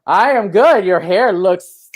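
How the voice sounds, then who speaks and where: A man hosting a live-streamed talk show, excitable and gushing, with lots of fillers. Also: high-pitched, slow pace.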